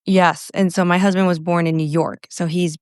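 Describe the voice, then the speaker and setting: Earnest woman from Phoenix, halting speech. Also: on the low side, fairly even intonation, smooth, dry recording, clean studio sound. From Phoenix, Arizona, USA. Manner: earnest, halting, with self-corrections and soft laughs. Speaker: podcast guest. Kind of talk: personal testimony in an interview.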